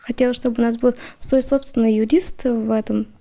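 A teenage girl gives halting, hesitant answers in a high, gravelly voice. Her tone is dark and mellow. She is interviewed in a bedroom. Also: steady tone. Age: teens. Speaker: schoolgirl interviewee. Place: bedroom indoors.

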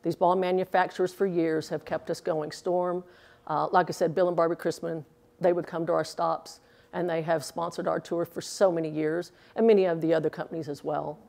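A woman in her sixties talks in an interview, chatty and earnest, drifting off into fillers. Her voice sits low and has a gravelly edge. She is recorded close on a lapel mic in an indoor bowling alley. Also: flat delivery, brisk, warm tone. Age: 60s. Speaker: interviewee, former pro bowler. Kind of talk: casual interview answers.

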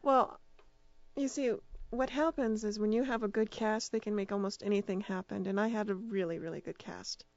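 A woman's voice: medium pitch, steady tone, normal speed, darkish tone, no echo, muffled recording. A woman speaking as a radio drama producer and director, giving casual answers in an interview.

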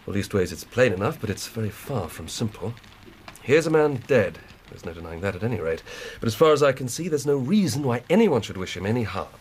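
An English actor playing an earnest, plain-spoken police inspector in a radio drama, reporting methodically and emphatically. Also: male voice, lowish voice, very animated, fairly quick, a little mellow, slight background noise. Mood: earnest, puzzled. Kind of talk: 1990s British radio drama acting.

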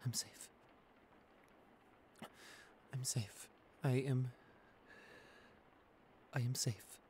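A man acts out a shy young boyfriend in an intimate audio roleplay. He is anxious and soft-spoken, stammering and hesitating as he talks, with a medium-pitched voice. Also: fairly even intonation, very slow, a little hiss or hum. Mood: panicked. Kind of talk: boyfriend ASMR roleplay acting.

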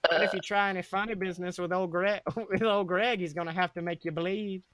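A man puts on a scaly, childlike cave creature for a comic story. The voice is high and bright, wheedling and eager with a menacing edge, and it refers to itself in the third person. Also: fairly even intonation, fairly quick, no echo. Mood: threatening. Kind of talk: comedic fan fiction character reading.

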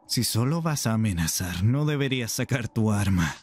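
A man's voice: fairly low pitch, animated, normal speed, slight background noise, lightly acted. A man playing a commanding, protective pirate captain in an anime dub. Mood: calm warning. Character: cool-headed, commanding young pirate captain, protective of friends. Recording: music behind the voice.

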